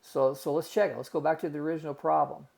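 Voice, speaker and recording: A man's voice: fairly high pitch, little pitch movement, fast, gravelly, a little mellow. A man teaching a recorded math lesson, working through calculations step by step in a folksy way and calling his students guys and gang.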